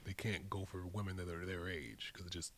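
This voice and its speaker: Low-voiced man in podcast discussion. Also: steady tone, fairly quick, fairly bright tone.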